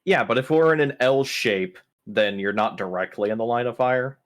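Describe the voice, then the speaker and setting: A man's voice: mid-range voice, moderate pace. A man playing a tabletop role-playing game, talking casually with the group about moves and rolls. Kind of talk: casual chat during a game.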